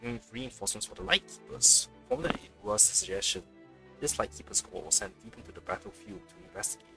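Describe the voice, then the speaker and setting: Low, gravelly, animated male streamer. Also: a little fast, music in the background. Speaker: game streamer. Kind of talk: let's play commentary, reading game text aloud.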